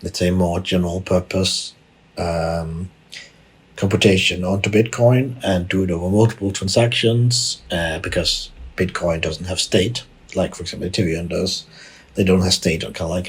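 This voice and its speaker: Very deep, husky-voiced man from Denmark. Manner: frequent restarts, repeated words and fillers. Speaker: podcast guest, tech executive. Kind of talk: conversational interview answers.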